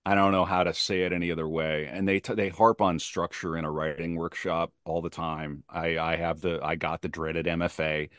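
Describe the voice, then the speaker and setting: A man with a very low voice talks as a guest in a casual interview. The sound is dry, with little room. He explains his craft emphatically, repeating phrases to drive a point home. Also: level tone, moderate pace, hardly any pauses, fairly bright tone, no room sound. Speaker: podcast guest, playwright. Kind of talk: animated casual interview talk about craft.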